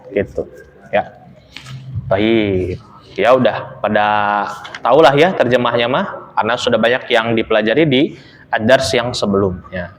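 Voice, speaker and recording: A young man teaching an Arabic lesson, chatty and relaxed, laughing often and reading phrases slowly for students to repeat. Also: medium pitch. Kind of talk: casual online Arabic lesson, reading aloud for repetition. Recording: long reverberant echo.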